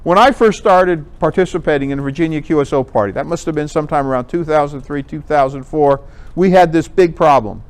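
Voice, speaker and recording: An American man giving an informal presentation, explaining things conversationally and pausing to rephrase. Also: a little high, smooth. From Connecticut, United States. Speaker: amateur radio contest organizer, presenter. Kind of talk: informal club presentation with slides.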